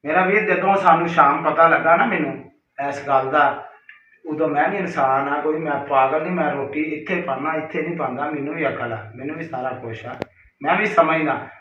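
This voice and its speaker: A man's voice: on the high side, a little fast, pushed and bright, slight background noise. A man delivering an aggrieved personal monologue, reproachful and emphatic, repeating himself as he addresses someone directly. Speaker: caller leaving a recorded personal message. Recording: roomy, distant, dull call recording.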